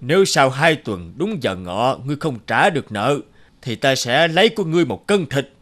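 A man voicing a cruel, rich landlord in an animated folk tale, haughty and scheming. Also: medium pitch, normal speed. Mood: haughty, menacing. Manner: haughty, threatening, turning fawning before officials.

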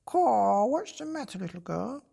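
A man doing a high cawing crow. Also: big pitch swings, unhurried, vocal fry, mellow, breathy edge, exaggerated voice. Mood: friendly.

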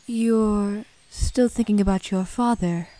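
A woman voicing a tender, consoling mother unicorn in an amateur cartoon drama, gentle and affectionate. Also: mid-range voice, slow pace, natural voice. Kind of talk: amateur animated audio drama.

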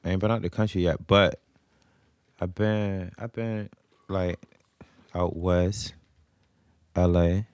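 A man's voice: low-pitched, fairly even intonation, takes it slowly, long pauses, darkish tone, clean tone, no echo. A young man chatting casually as a podcast guest, rambling in slang and repeating himself, close on the mic. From Virginia, US. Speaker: podcast guest, recording artist. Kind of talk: casual chat. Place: small podcast room with couches.

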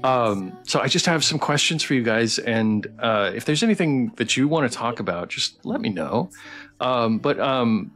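A man's voice: mid-range voice, animated, moderate pace, a bit bright. Man hosting a stream over guitar music. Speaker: stream host and interviewer. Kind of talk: casual live stream interview.

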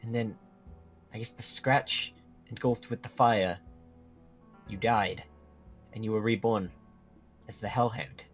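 Low-voiced, protective young werewolf played by a man. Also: fairly even intonation, slow pace, pauses often. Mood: puzzled. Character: protective, earnest teenage male werewolf friend. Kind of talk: acted dialogue in a Minecraft roleplay drama. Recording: music behind the voice.

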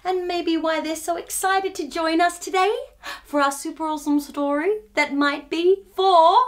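A woman's voice: extremely high pitch, fairly even intonation, moderate pace. A woman in her thirties hosting storytime for young children, talking in a playful, exaggerated sing-song way. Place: small furnished room, indoors.

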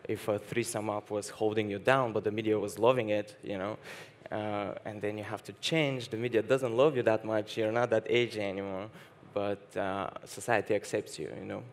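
A man's voice: on the low side, fairly even intonation, normal speed, hardly any pauses. A young man from Bulgaria speaking frankly on a conference panel, with many hesitations and restarts. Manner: frank, hesitant restarts, laughs while talking. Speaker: panelist, dating app founder. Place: stage at a conference hall.

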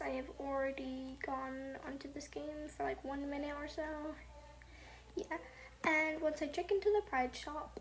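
A young woman rambles through casual gameplay commentary in a soft, half-whispered voice. Her voice sits high. Clear noise from a busy home runs behind her. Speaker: gaming channel host. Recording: noisy home recording.

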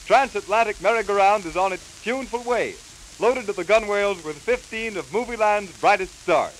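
A man delivering scripted 1930s promotional announcements with brisk, theatrical showman's hype. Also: sits high. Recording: hissy, crackly 1930s 78 rpm record. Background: record hiss and crackle.

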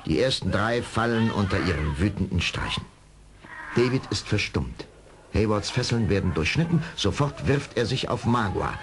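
A man giving dramatic scripted narration for an adventure audio drama. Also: fairly low pitch.

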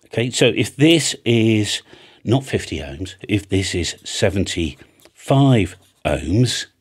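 A British man in his fifties with a husky voice gives an informal, chatty tutorial, often checking in with the listener, close on a studio microphone. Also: lowish voice, big pitch swings, takes it slowly. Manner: chatty, plain-spoken, checks in with "right?" and "okay?". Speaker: amateur radio operator and antenna maker presenting a tutorial. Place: small indoor home studio. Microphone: large studio microphone on a boom arm, close.

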